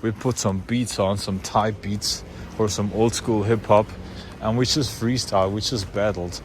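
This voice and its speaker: Young Swiss man, Swiss German accent, slightly low voice, chatty vlogger, noisy car recording. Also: fairly even intonation, measured pace. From Switzerland. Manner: loose, unscripted, many fillers and restarts. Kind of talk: casual rambling monologue.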